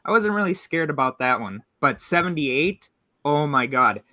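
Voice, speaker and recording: Bright-voiced young man telling trivia. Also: on the high side, moderate pace, no room sound, studio quality. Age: 20s. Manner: animated storyteller sharing trivia. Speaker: host. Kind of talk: casual podcast chat.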